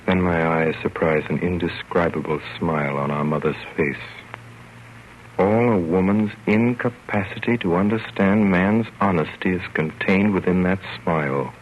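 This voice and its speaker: A fond, wry father, played by a man narrates an old radio story in a very deep, measured, theatrical voice. The sound is muffled with faint noise. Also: lightly acted. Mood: wry narration.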